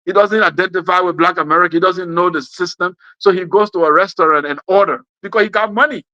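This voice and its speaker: A man's voice: sits high, quick pace, pushed and bright, no echo, dull audio. A man with a West African accent gives an impassioned political speech, emphatic and repeating phrases for effect. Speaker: speaker giving an address.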